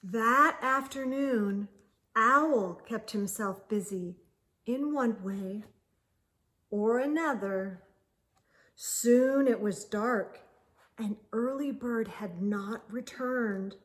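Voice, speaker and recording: Slow-paced, high-voiced woman reading a storybook. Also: a bit bright. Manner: measured, deliberate phrasing with drawn-out words. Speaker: children's storytime host and reader. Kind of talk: expressive picture-book read-aloud for children.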